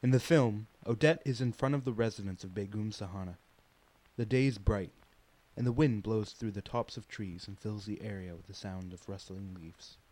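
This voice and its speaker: A man's voice: lowish voice, normal speed, a little mellow, natural voice, poor audio. A man playing a composed narrator, formally describing scenes in a scripted radio play.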